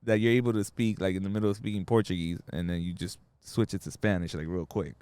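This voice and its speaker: A man's voice: deep voice, fairly even intonation, fast talker, darkish tone, clear, resonant tone, no echo. A man hosting a podcast interview, joking around and talking in loose slang. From Dominican family. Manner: joking, slangy, laughs a lot. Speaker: podcast host. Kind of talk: casual interview chat.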